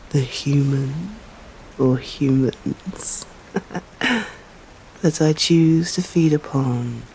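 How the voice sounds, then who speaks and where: Slow, stern English vampire woman, acted out by a woman. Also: low-pitched, warm tone, a little hiss or hum, exaggerated voice, poor audio. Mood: solemn, indulgent. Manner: slow, formal, deliberate, often dropping into whispers. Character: stern, proud, hungry female vampire, intrigued by a human. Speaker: voice actor. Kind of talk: ASMR roleplay, scripted dramatic monologue.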